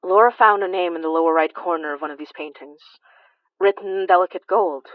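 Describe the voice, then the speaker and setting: An actress as a grave, watchful woman narrating eerie horror fiction in a lowish voice. Her delivery is measured, with dramatic pauses, recorded very dry and a little muffled. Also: no echo, natural voice. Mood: ominous. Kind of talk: scripted first-person horror narration.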